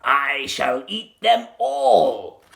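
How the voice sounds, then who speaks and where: A man voicing a sly, ravenous crocodile villain in a storybook, savouring his words slowly and gloatingly. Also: on the high side, big pitch swings, gravelly, a bit bright, heavily acted. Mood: hungry, greedy.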